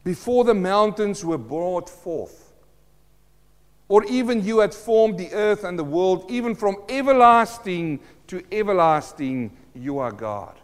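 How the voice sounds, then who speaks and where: A middle-aged man preaches earnestly in a church hall. His is Afrikaans, and his voice sits a little high for a man. The hall's long reverb trails after his words. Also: expressive, unhurried. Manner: earnest, addresses listeners as friends, asks rhetorical questions. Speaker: pastor. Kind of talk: sermon.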